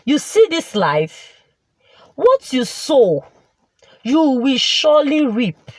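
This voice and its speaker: Woman acting a measured, reproving wronged mother. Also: sits high, sing-song, no room sound, heavily acted. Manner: measured, proverb-laden, sighing hums. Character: knowing, wronged mother, reproving yet forgiving.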